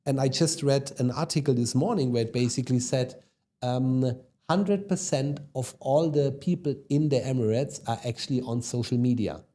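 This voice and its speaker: A man in his forties with a German accent talks through his career in a relaxed podcast interview. His voice is mellow and mid-pitched, and he fills gaps with hesitations and tag questions like "no?" and "right?". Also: normal speed. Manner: conversational, frequent ums and tag questions. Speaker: guest, e-commerce executive.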